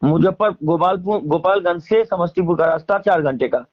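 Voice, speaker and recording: A man's voice: sits high, brisk, lo-fi sound. A man with a Bihari accent speaking casually as an interviewee, emphatically airing grievances in colloquial, repetitive phrasing. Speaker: interviewee, singer and stage performer.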